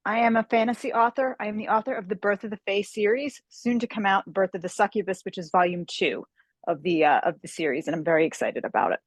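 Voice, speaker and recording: A woman's voice: medium pitch, fast talker, no echo. A woman guest talking about her work as a fantasy author, chatty and enthusiastic, on a video call. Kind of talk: casual interview conversation.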